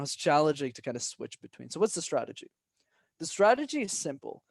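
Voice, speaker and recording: A young man as a podcast guest, giving energetic and emphatic advice and often tagging his points with "right?". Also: mid-range voice, dry recording, studio quality. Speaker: guest, public speaking coach. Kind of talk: casual podcast interview.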